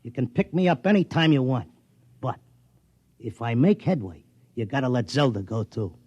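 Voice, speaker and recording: A man's voice: mid-range voice, sing-song, normal speed, breathy edge, no room sound, highs cut off. An American man playing a brash, stubborn, streetwise racetrack tipster in a slangy, blunt 1950s radio drama style. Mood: urgent, bargaining. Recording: old radio drama with loud music.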